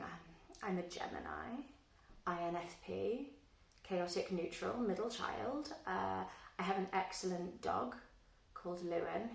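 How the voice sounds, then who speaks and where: A woman's voice: fairly low pitch, gravelly. Wry woman in an echoey room. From London, England. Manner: self-deprecating, wry, many fillers. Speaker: book vlogger. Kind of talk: casual self-introduction to camera. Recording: echoey room, a little far from the mic.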